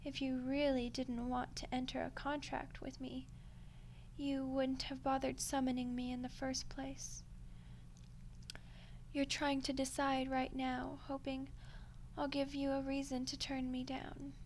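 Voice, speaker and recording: A woman playing a smug, condescending female archdemon in a soft-spoken ASMR roleplay, unhurried and menacingly polite. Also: high voice, monotone, slight background noise. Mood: smug, dismissive. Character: ancient female archdemon, smug, coolly seductive deal-maker.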